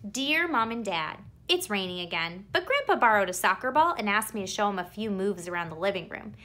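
A woman reads a children's story aloud in a highly animated voice of middling pitch. She leans on key words and stretches them out for theatrical effect, as a storyteller does for young listeners. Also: brisk. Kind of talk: expressive children's picture book read-aloud.

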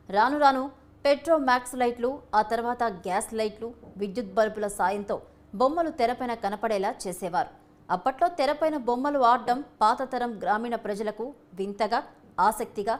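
Higher-pitched woman narrating a news feature. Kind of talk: scripted documentary news narration.

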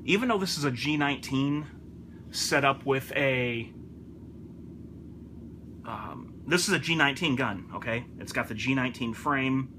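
A man's voice: medium pitch, lively intonation, unhurried, pauses often, noisy background. A man talking casually to camera as a hobbyist presenter, informal and repeating his points for emphasis. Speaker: gun enthusiast presenter. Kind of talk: casual product review to camera.

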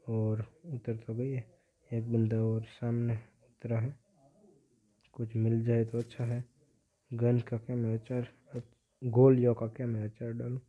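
A man's voice: mid-range voice, completely flat delivery, a little slow, pauses often. A teenage male giving casual gameplay commentary as a gaming video creator. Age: teenager, about 15. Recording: dull, lo-fi phone-like audio.

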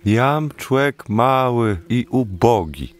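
An actor playing a humble, simple beekeeper elder with a modest, grave manner in a radio drama. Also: male voice, medium pitch, animated, very slow. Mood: humble, reluctant. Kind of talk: illustrated radio drama acting.

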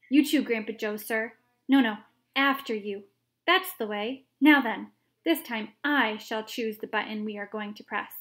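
A woman voicing an eccentric, excitable male chocolate maker who gushes with theatrical exclamations. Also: high-pitched, natural voice. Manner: gushing, theatrical, rapid exclamations.

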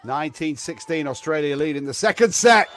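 Higher-pitched male sports commentator. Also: animated, a little fast, noisy background. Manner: clipped phrases, swelling to shouts on big points. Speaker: beach volleyball commentator. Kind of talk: live sports play-by-play commentary.

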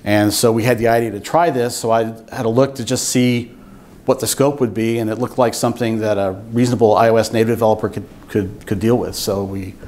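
An older man giving an informal technical presentation at a meetup, explaining things in a measured way. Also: lowish voice, fairly even intonation, darkish tone. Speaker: software engineer giving a talk. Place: meetup room with seated audience, indoors.

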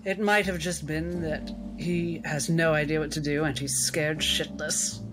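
A woman playing a courteous, wry female doctor who speaks politely and with gentle hesitation. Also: sits low, fairly even intonation, a little slow, music in the background. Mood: grave, wry.

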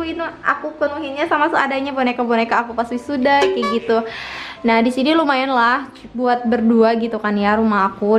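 A young woman talks in a fairly high voice. She chats informally to her viewers, explaining as she goes and posing little questions that she answers herself. Music plays behind her. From Indonesia. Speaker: vlogger giving a home tour. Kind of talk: casual chat to viewers. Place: her own small house, front yard then living room. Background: background music.

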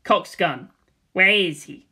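A menacing gangster-style grown man, as a man reads him, low and threatening. He interrogates in a blunt, hard voice. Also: slow, pushed and bright, husky, heavily acted.

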